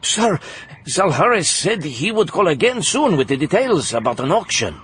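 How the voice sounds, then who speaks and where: An actor playing a dutiful, formal aide reporting to a South American dictator in an audiobook drama. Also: male voice, a little high, sing-song, normal speed, a bit bright, a little hiss or hum. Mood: anxious. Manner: deferential, formal.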